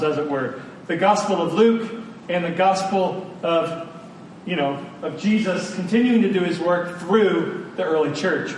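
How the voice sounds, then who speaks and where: A man preaching a sermon, emphatic and informal, repeating phrases for stress. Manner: informal, colloquial asides to listeners. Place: large room, speaking to a congregation. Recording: echoey room, distant mic, faint rumbling noise.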